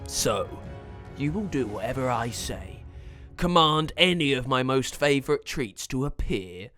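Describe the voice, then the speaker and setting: A man voicing a smug, bossy evil wizard in a children's story, blustering and shouting in rage. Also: medium pitch, animated, a little slow, soft background music. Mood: smug, demanding.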